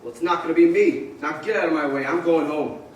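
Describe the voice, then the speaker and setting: A man's voice: fairly high pitch, quick pace, a little hiss or hum, lightly acted. A man playing an earnest, hot-tempered family man given to frantic outbursts in a radio stage play. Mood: frantic, furious. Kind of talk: old-time radio play acting on stage. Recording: distant echoey stage recording with music.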